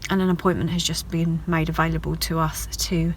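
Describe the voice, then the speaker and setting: A woman's voice: sits low, little pitch movement, brisk, slight background noise. A woman, a hairdresser chatting casually to her viewers in a video diary, rambling from one thing to the next. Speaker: vlogger and hairdresser.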